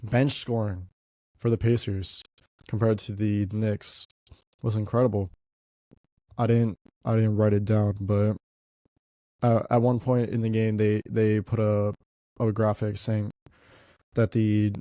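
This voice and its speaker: A man's voice: lowish voice, dark tone, no room sound. A young man hosting a podcast, talking casually about basketball, congested and stuffy, often repeating words as he goes. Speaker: podcast host.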